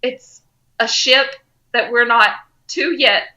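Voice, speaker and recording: A woman's voice: a little high, slow, pushed and bright. A woman co-hosting a podcast in casual fan chat, with a gushing, effusive way of talking. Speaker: podcast co-host.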